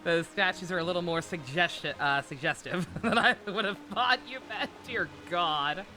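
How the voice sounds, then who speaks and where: A man's voice: high-pitched, moderate pace, pushed and bright, a little hiss or hum. A man streaming a game and giving casual live reaction commentary. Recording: game music behind the voice.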